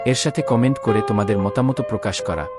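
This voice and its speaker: A man's voice: on the low side, brisk. A man speaking as a channel creator, addressing viewers in a promotional outro with music behind. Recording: loud music under the voice.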